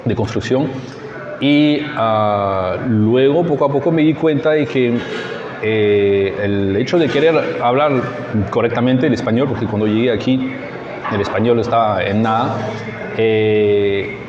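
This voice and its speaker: A man with a French accent and French as his native language, a French teacher answering informally in a halting way, searching for words. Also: lowish voice. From France. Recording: muffled, echoey outdoor recording with crowd noise.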